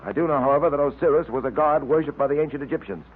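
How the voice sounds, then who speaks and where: An actor playing a resolute police detective in a radio drama, clipped and authoritative. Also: male voice, on the high side, very fast, narrow, tinny audio, close to an everyday voice. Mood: businesslike. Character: resolute, commanding police detective, adult man. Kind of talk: 1930s-40s radio drama acting. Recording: thin, noisy vintage radio recording.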